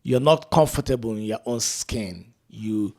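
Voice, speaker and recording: A man's voice: lowish voice, unhurried, a little mellow. A middle-aged man from Africa hosting a casual discussion, talking reflectively and tagging lines with "right?" and "you know". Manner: reflective, frequent "right?" and "you know" asides, laughs while telling stories. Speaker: podcast host.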